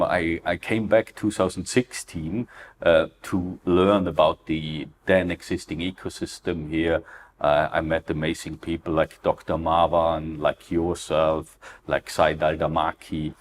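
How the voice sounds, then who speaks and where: A man's voice: sits low, little pitch movement, measured pace, a little hiss or hum. A middle-aged Austrian man with an Austrian German accent, a founder answering interview questions earnestly, with frequent fillers and non-native phrasing. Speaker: crypto ecosystem founder, guest.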